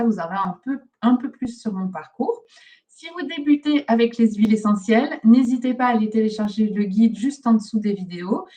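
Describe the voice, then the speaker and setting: A woman from Belgium with a fairly high voice hosts an informal teaching session. She explains things in a chatty, encouraging way, as a trainer would. The voice sits slightly away from the microphone. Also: a little mellow, recorded from a distance. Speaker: host, pharmacist and aromatherapy trainer. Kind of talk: informal live question-and-answer teaching.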